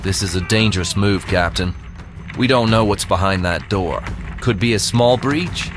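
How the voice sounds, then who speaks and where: A man's voice: fairly low pitch, normal speed, background noise, close to an everyday voice. A man playing a wary, principled starship officer in an audio drama, cautious, earnest and firm. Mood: worried.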